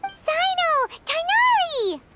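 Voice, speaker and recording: A woman voicing a tiny, chirpy fairy companion in a video game, with a very high, squeaky voice. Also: very animated, slow pace, heavy background noise, exaggerated voice. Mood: excited. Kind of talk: studio game dub.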